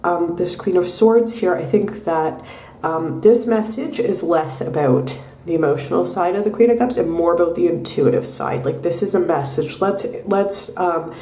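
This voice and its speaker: Soft-spoken woman, nasal from a cold. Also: fairly low pitch, distant mic. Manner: soft, unhurried, many ums. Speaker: tarot reader. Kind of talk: pick-a-card tarot reading. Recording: echoey room, mic set back.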